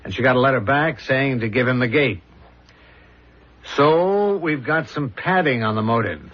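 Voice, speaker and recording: On a dull old radio recording, an actor plays a confident police interrogator. His medium-pitched male voice is measured and dry, calmly insistent in vintage radio-play style. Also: natural voice. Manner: measured, drily matter-of-fact. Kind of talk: old-fashioned radio drama acting.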